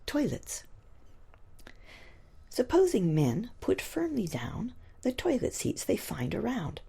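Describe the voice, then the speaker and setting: A woman poet reciting her own verse expressively, with a husky, breathy, gravelly voice and theatrical, deliberate emphasis on the rhymes. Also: on the high side, huge pitch swings, dark tone. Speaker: poet reading own work.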